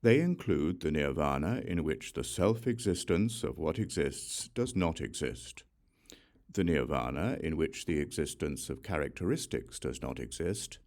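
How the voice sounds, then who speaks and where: A man narrating scripture from a script in a measured, solemn manner. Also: low voice, darkish tone. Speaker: audiobook narrator.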